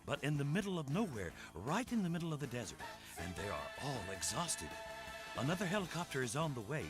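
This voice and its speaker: A man's voice: medium pitch, big pitch swings, fairly quick, lightly acted. An actor playing a man who earnestly reports an emergency in a video game cutscene. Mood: earnest, unhurried. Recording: game audio with music behind. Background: music.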